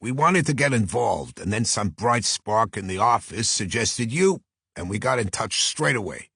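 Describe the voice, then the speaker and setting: A man's voice: fairly low pitch, normal speed, husky, dry recording, studio quality, exaggerated voice. A man voicing an earnest, effusive New York talent agent with a Brooklyn accent in an audiobook. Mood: earnest, persuasive. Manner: effusive, flattering, salesman-like. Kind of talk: audiobook character voice.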